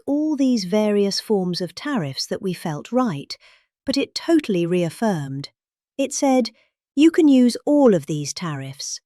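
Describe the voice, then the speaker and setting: British woman, drily deadpan, lively pitch. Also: no room sound, clean studio sound. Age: middle-aged. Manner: calm, prim, deadpan, drily ironic. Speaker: host reading a politician's words satirically. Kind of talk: scripted satirical commentary and read-aloud quotes.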